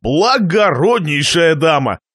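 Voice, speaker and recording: A man performs a shameless, jovial glutton from a satirical storybook. The delivery is pompous and expansive, with very animated pitch. Words are savoured and handed out like little lectures. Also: slow pace, breathy edge, no echo, clean studio sound, heavily acted. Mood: gleeful, lecturing. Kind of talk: dramatised reading of a satirical short story.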